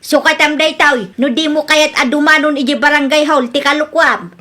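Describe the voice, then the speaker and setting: A woman's voice: high voice, fairly even intonation, moderate pace, sharp tone. A woman playing a suspicious, insistent middle-aged village aunt in a comedy radio drama, with a nagging, scolding manner. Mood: confronting.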